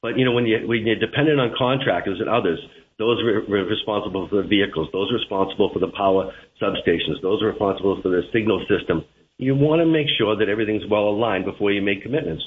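A man's voice: fairly low pitch, fast talker. A man with a Boston accent, a transit manager being interviewed on the radio, talking with lots of "uh"s and restarts. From Boston area. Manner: hesitant, many fillers and restarts. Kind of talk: radio interview over the phone. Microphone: phone line. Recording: tinny phone line.

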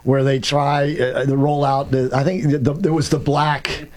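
A man's voice: medium pitch, steady tone, moderate pace, darkish tone. A man co-hosting a political talk radio show, chatting casually and prompting his co-host with questions.

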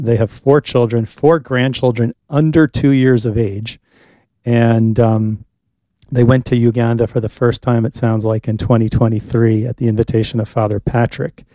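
A man hosting a live radio talk show from the studio, relaxed and chatty, with many pauses and fillers. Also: medium pitch, no room sound.

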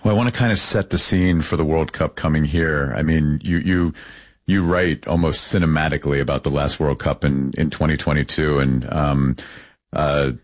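Low-voiced male interviewer. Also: fairly even intonation, moderate pace, no echo, studio quality. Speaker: interview host. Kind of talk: scripted narration and conversational questioning.

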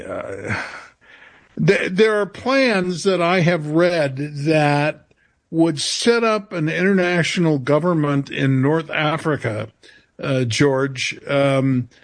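A man answering as a radio interview guest, halting and often searching for his words. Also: on the high side.

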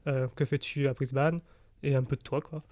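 A man's voice: mid-range voice, level tone, normal speed, darkish tone, no room sound. A man hosting a casual, conversational radio interview in a studio.

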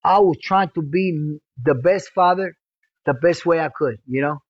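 A man in his fifties from Cuba speaks casually and softly, slightly high for a man. The interview tape sounds dull and lo-fi. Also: moderate pace. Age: 50s. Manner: casual, soft-spoken, filler-laden.

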